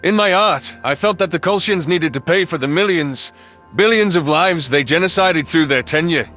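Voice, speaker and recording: A man's voice: a little high, moderate pace, bright, forceful tone. A man reading scripted, dramatic first-person science fiction narration as an audiobook narrator. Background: background music.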